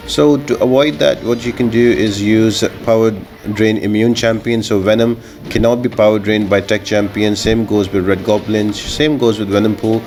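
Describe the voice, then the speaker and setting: A man's voice: fairly low pitch, fairly even intonation, normal speed, hardly any pauses, darkish tone, slight background noise. A man giving informal, instructional commentary as the host of a video game guide. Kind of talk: gameplay tips commentary, explanatory. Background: music.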